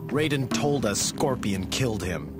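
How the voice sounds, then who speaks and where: A man's voice: mid-range voice, expressive, a little slow, lightly acted. Earnest young fighter hero, voiced by a man, mid-range voice, game music under him. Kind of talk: video game cutscene acting.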